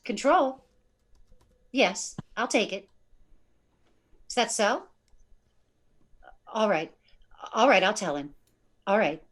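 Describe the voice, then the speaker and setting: A woman's voice: medium pitch, lively intonation, slow, pauses often. A woman playing a dutiful control-room secretary in a radio drama, businesslike and deferential. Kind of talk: live radio drama acting.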